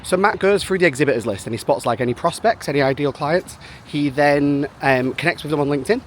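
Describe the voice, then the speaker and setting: A chatty man talking straight to the camera in a loose vlog ramble, leaning on "um" and "like" as he goes. His voice sits a little higher than most men's. A faint hum of ambience stays behind him. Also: fast. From Manchester, England. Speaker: business founder vlogger. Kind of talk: casual vlog chat.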